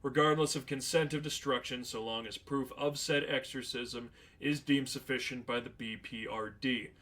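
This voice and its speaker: A man's voice: medium pitch, steady tone, normal speed, fairly bright tone, close to an everyday voice. A man playing a sardonic, unflappable veteran demon hunter in a story reading, matter-of-fact and flippant. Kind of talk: dramatized fan fiction reading.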